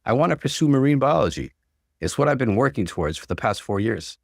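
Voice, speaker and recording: A man's voice: mid-range voice, sing-song, quick pace, vocal fry, no room sound, studio quality. A man playing a frustrated, determined young student son in a story, earnest and firm.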